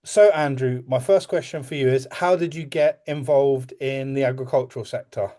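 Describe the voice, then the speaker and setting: A man's voice: medium pitch, normal speed, warm tone. A man hosting an interview podcast, asking questions and agreeing with his guest in a plain, easygoing way. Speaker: podcast host.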